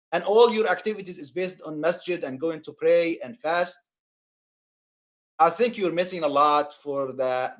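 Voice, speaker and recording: A man from Egypt with an Egyptian Arabic accent, giving an earnest, preacherly talk on faith and social justice, often repeating phrases and restarting. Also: high-pitched. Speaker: speaker on Islam and social justice. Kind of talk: impassioned religious talk.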